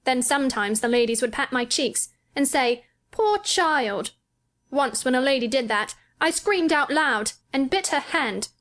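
A woman's voice: high voice, animated, a little slow, a bit bright, pitched above the performer's own voice. A woman voicing a sickly, petulant and solemn young boy in a storybook, earnest and self-absorbed. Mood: indignant, complaining. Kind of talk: audiobook character dialogue.

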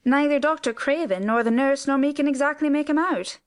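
A woman's voice: sits high, a little fast, voice pushed up, close to an everyday voice. A woman reader voicing a flustered, talkative older housekeeper who rambles through her explanations. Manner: breathless, rambling explanations, lots of "sir".

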